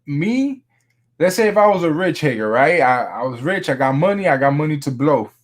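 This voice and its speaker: A man's voice: a little high, sing-song, moderate pace. Laid-back man talking sneakers. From Miami, Florida. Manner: laid-back, slangy, says "bro" often. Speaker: sneaker vlogger. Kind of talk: casual chat.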